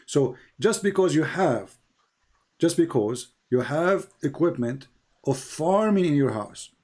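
A man's voice: expressive, slow pace, a little mellow. Emphatic man, Arabic native speaker. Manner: emphatic, rises high when pressing questions; repeats phrases for emphasis. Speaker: host. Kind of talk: live debate with a caller.

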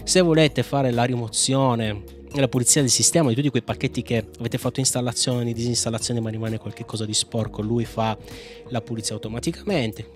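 A man giving a casual, playful software tutorial in an informal, chatty way. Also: medium pitch. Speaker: tech video host.

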